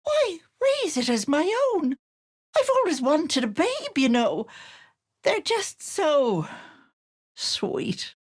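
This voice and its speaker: An actress playing a sly old hag who poses as a sweet auntie in a video game, cooing and wheedling with menace underneath. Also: female voice, sits high, wildly swooping pitch, slow pace, pauses often, full character voice. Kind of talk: theatrical video game character acting.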